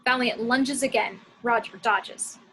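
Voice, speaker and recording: A woman's voice: fairly high pitch, a little slow, a bit bright, husky, rough recording. A woman reading scripted action descriptions as the narrator of a screenplay table read.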